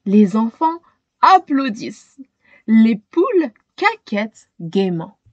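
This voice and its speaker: A woman narrates a children's storybook in a high voice. The reading is expressive and dramatic, at a slow, deliberate pace. The recording is dry and close. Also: no echo, dull audio. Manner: dramatic, pauses to question young listeners. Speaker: storytime reader.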